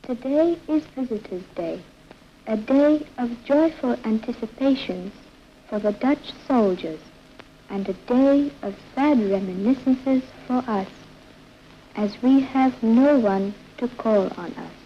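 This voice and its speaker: Wistful letter-writer, played by a woman, higher voice, very unhurried, sentimental wartime film narration, muffled old recording. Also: a little hiss or hum. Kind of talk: scripted, sentimental 1940s propaganda film narration.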